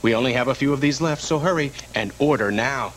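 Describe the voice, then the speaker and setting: An actor playing an earnest male TV shopping host, delivering an enthusiastic, salesy parody pitch. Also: brisk, slight background noise, natural voice, poor audio. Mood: salesy enthusiasm. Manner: salesy, enthusiastic, lingering on praise. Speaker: home shopping presenter.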